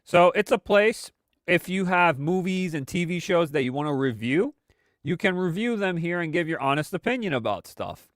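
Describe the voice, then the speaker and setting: A man's voice: fairly high pitch, expressive, normal speed, no echo, studio quality. A man hosting a weekly live stream with casual, rambling commentary full of fillers. He sounds congested from a cold he is still getting over. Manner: rambling with many fillers and restarts.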